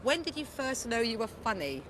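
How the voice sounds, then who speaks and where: A woman's voice: on the high side, very animated, normal speed, a bit bright, a little hiss or hum. Bubbly woman TV presenter outdoors. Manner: bubbly, chatty, self-mocking. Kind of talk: breakfast TV interview. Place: outdoors by a river.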